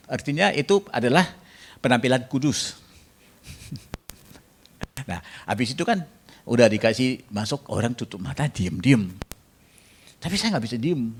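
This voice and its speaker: A man preaching a sermon in a jokey way, bantering with the congregation and mixing in English phrases. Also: mid-range voice. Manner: jokey, banters with the congregation and asks them to raise hands.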